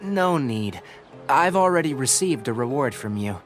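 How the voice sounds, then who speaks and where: A man's voice: medium pitch, lively intonation, moderate pace, natural voice. A man voicing a composed, scholarly young forest ranger in a video game, youthful, articulate and matter-of-fact. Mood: courteous, practical. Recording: music behind the voice.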